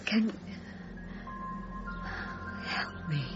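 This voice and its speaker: Distraught woman, halting and haunted. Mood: nervous, pleading. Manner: halting, anxious, stammering speech. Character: distraught, guilt-ridden female patient haunted by nightmares. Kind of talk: naturalistic radio drama acting. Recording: dull radio recording with music behind.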